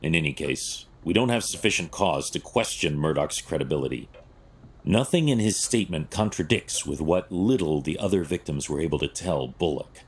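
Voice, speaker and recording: An actor playing a stern, analytical vigilante detective in an audio drama, terse and clinical, with a gravelly voice. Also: male voice, a bass voice, expressive, normal speed, full character voice. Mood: stern, decisive.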